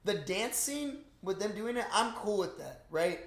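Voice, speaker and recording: Podcast co-host, young man, slightly high pitch, loose profane chat, strong room echo. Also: expressive, unhurried, fairly bright tone. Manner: slangy, profane, rambling. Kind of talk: casual movie recap chat. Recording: roomy, echoing room sound.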